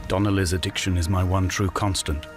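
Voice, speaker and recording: Guy acting as a lonely injured castaway, low voice, solemn poetic game monologue, music playing loud behind him. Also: flat delivery, natural voice. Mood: haunted. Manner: solemn, measured, literary phrasing. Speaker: game narrator. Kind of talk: scripted dramatic monologue, literary narration.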